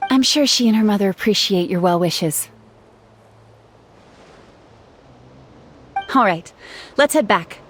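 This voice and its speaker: A woman voicing a friendly, earnest young female gem artisan in a video game, telling things in an expressive, conversational way. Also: mid-range voice, normal speed, lightly acted. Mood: cheerful, friendly. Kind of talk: scripted game dialogue. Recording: game music behind the voice. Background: background music.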